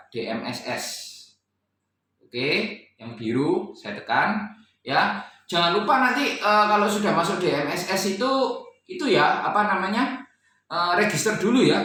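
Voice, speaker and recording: An Indonesian man gives a casual, step-by-step installer's tutorial in a bright, forward voice. He sounds some way from the microphone in a very echoey room, explaining each step and checking in as he goes. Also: on the high side. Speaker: installer demonstrating a product setup. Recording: echoey room, distant mic, faint noise.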